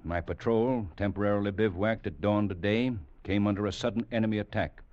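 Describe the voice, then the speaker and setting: Actor as a stern low-voiced old-radio cavalry captain. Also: male voice, steady tone, fairly quick, darkish tone, no echo, lightly acted. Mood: grave, commanding. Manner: clipped, formal, lecturing. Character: stern, exacting veteran cavalry captain, grey and tired. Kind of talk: 1940s radio drama acting. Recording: dull vintage radio recording.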